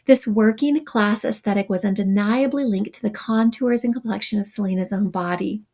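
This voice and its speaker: A woman teacher reads a text aloud to her students in an average-pitched voice. Her voice has a gravelly fry. She keeps stopping to explain terms and add wry comments. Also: moderate pace, a little mellow. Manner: reads passages then adds wry asides.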